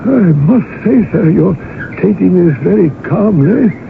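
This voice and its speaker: Crackly, narrow old radio sound frames a proper manservant. He speaks in a high, creaky voice, formal and deferential, with fussy precision suited to a period radio play. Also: expressive, measured pace, exaggerated voice. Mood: concerned. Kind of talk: old-time radio drama acting. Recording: noisy, narrow-band old radio broadcast recording.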